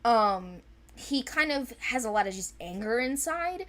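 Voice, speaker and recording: A woman's voice: fairly high pitch, animated, a little slow, a bit bright. A woman chatting casually about fictional characters, rambling and correcting herself with enthusiasm.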